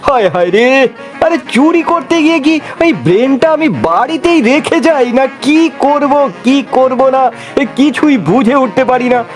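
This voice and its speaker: An actor plays a scheming cartoon monkey thief with an extremely high voice. The trickster talks in cocky, wheedling exclamations, with light music behind. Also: moderate pace, close to an everyday voice. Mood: exasperated, puzzled. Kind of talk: comic animated cartoon dialogue.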